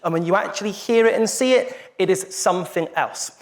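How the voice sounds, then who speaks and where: Male host, high voice, hyping up the room from the stage. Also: brisk. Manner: energetic, emphatic build-ups. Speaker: event host. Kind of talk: stage presenting. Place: stage at a live event.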